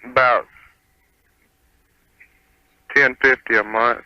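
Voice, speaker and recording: A man's voice: mid-range voice, steady tone, normal speed, no room sound. A man, calling a radio show and talking casually in a hesitant, sheepish way. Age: 20s. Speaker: caller. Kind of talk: casual phone conversation. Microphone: telephone. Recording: dull lo-fi phone call on radio.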